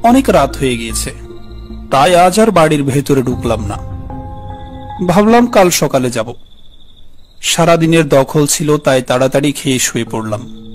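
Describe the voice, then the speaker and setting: A man's voice: on the high side, animated, moderate pace, pauses often. Male horror storyteller over music. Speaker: first-person storyteller. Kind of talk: scripted horror story narration.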